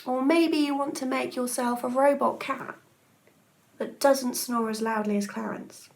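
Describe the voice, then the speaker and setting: A woman's voice: sits high, steady tone, a little slow, creaky. A woman teacher speaking to children as a story reader, explaining a creative task. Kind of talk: reading to children and setting tasks.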